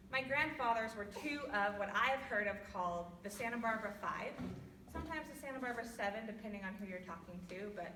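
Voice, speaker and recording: A young woman from California with a loud voice, giving a personal talk to an audience in a church hall without a microphone. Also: mid-range voice, slight background noise. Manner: loud, laughing, self-deprecating. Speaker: guest speaker. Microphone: no microphone, far from recorder. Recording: echoey hall from far off.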